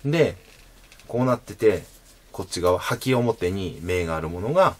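A man in his thirties talks to the camera in a small indoor room, explaining things patiently and stopping often on fillers. His voice sits low but rises and falls widely, hopping up in pitch to stress key words. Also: expressive. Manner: explains patiently with many fillers and pauses, demonstrating with a sword. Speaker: sword channel host. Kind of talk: casual explanatory talk to camera.

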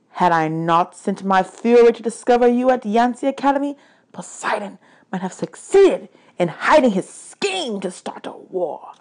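A woman's voice: medium pitch, close to an everyday voice. Lady doing a pissed off underworld god guy from a kids book, bossy, ranting, leans hard on every word. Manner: imperious, ranting, emphatic drawn-out words. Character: angry, aggrieved male god of the underworld.

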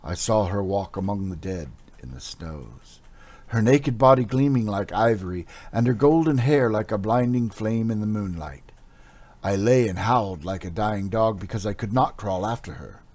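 A man's voice: on the low side, moderate pace, a little mellow, natural voice. A man voicing a wild-eyed older warrior telling a legend in a read-aloud fantasy story. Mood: fervent. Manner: fervent, wild.

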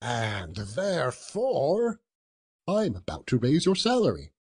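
A man voicing an old miser from a storybook, emphatic and growling, with drawn-out words. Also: mid-range voice, big pitch swings, slightly rough, exaggerated voice. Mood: mock stern. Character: old male miser, feigning gruffness, bursting with goodwill.

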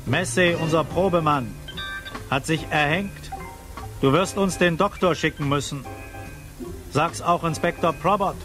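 Actor as weary gruff lighthouse chief. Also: male voice, a little high, a little slow, pauses often, music in the background, natural voice. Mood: urgent, grave. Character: gruff, weary male head keeper of a lighthouse. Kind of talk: German radio crime drama acting.

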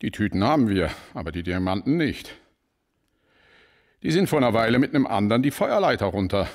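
An actor playing a gruff, sarcastic homicide detective with a curt manner in a radio crime drama. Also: male voice, fairly low pitch, very animated, brisk, a little mellow. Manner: curt, sardonic. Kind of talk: German radio play acting.

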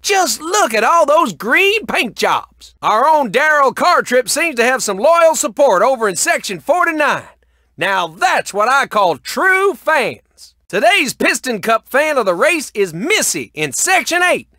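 A man playing an excitable, folksy race announcer in a cartoon racing video game, with showy sports commentary delivery. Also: very high voice, measured pace, no echo, studio quality, full character voice. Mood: upbeat announcing.

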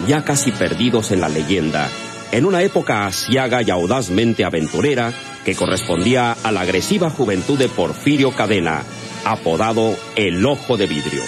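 A man's voice: on the low side, very animated, normal speed, over music. A man narrating an old radio serial in a formal, grandiloquent, dramatic style.

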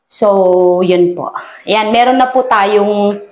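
A woman's voice: mid-range voice, takes it slowly, darkish tone, muffled recording. A middle-aged woman giving a polite tour of her house under renovation, guiding the viewer courteously from room to room. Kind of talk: polite walkthrough narration.

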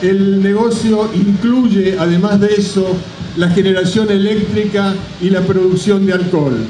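A middle-aged man gives a measured, deliberate public speech as a company representative at an outdoor podium. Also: high-pitched, fairly even intonation, dark tone. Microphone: microphone on a stand at a podium. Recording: roomy distant PA microphone, background noise.

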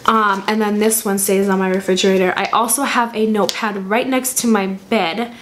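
A young woman vlogging to camera at home, hoarse from a cold, chatty and rambling with lots of ums and restarts. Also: medium pitch, fairly even intonation, normal speed, fairly bright tone, slight background noise. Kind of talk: casual chat to camera. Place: small room at home, indoors. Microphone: camera in front at desk distance.

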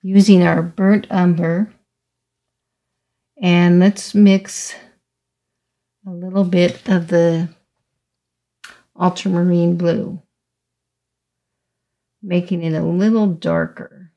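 A middle-aged woman teaching watercolor step by step, speaking haltingly as she thinks aloud while painting. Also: on the low side, flat delivery, warm tone. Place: indoors, small studio room at a table.

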